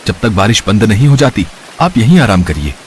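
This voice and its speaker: A humble young woodcutter, voiced by a man in a cartoon, earnest and devout, with a lowish voice that moves lively through its pitch. A clear hiss of noise sits under the recording. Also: brisk.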